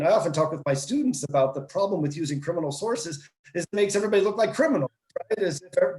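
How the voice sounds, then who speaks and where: Man, moderator, fairly high voice, thinks aloud, echoey room over a video call. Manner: thinking aloud, trails off with soft tags like "I guess". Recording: roomy video call audio.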